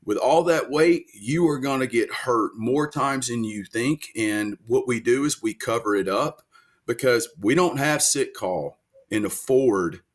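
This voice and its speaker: A man's voice: medium pitch, unhurried. A man in his forties from North Louisiana, a veteran guest telling detailed stories in a casual interview, earnest and full of fillers. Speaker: guest, military veteran and country singer.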